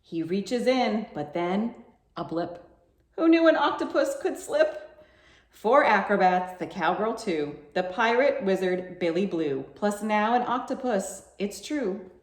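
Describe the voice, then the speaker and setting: Animated woman reading to children, roomy sound. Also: mid-range voice, measured pace. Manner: coaxing questions to young listeners. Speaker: children's library storytime reader. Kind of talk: expressive read-aloud for children. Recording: echoey room sound.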